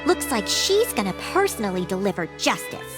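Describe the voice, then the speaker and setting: A woman's voice: mid-range voice, big pitch swings, a little fast, music in the background, lightly acted. A woman playing an eager onlooker among a crowd in a video game cutscene. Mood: impressed, gossiping.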